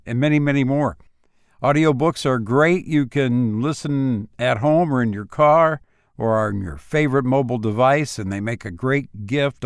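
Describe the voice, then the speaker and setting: A man reads in the manner of an audiobook narrator and podcast host. His pace is slow and his phrasing deliberate, at an average male pitch. The sound is close and dry. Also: clear, resonant tone, no room sound. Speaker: podcast host and story reader.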